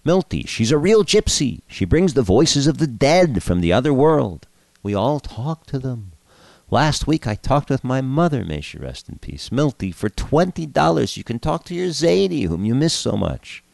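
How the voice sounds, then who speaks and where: A man's voice: mid-range voice, big pitch swings, normal speed, creaky, darkish tone, no room sound, voice pushed up. A man voicing an earnest, insistent wife coaxing and nagging in a comic story. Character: nagging middle-aged wife, earnest and pleading.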